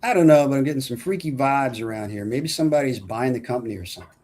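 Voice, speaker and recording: A man's voice: mid-range voice, expressive, fast talker, a little mellow, natural voice. A man reading the part of a crude, jokey male coworker at a film script table read, blunt and casual. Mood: uneasy, urging.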